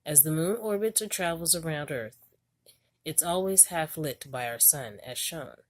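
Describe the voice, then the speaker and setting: Low voiced woman reading a kids learning book out loud, deliberate storytime phrasing. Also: animated. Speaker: homeschool parent reading a book aloud. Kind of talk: read-aloud narration of a children's educational book.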